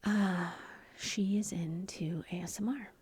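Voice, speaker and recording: A middle-aged woman talks to her ASMR viewers almost entirely in a soft, confiding whisper that wanders from thought to thought. Her voice is warm and mellow, with a heavily breathy, airy texture. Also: medium pitch, fairly even intonation, slow pace. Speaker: ASMR YouTuber.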